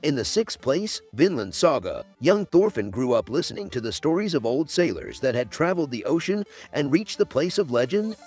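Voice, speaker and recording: A man's voice: on the high side, sing-song, moderate pace, hardly any pauses, a little mellow, faint music behind. A man hosting and narrating a scripted countdown video.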